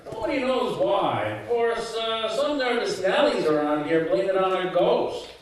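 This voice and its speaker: Man voicing a high, wisecracking talking skull. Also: sing-song, a little slow. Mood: wry. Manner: sardonic, blunt, chatty. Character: wisecracking talking skull on a bookshelf, showbiz old-timer. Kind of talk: live old-time radio comedy acting. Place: community center, live stage show. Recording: echoey hall, distant mic, faint noise.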